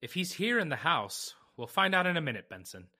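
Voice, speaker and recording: Actor as bright, animated, practical male attorney. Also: a little high, brisk, exaggerated voice. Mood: matter-of-fact. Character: brisk, level-headed male attorney, practical and skeptical. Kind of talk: old-time radio drama acting.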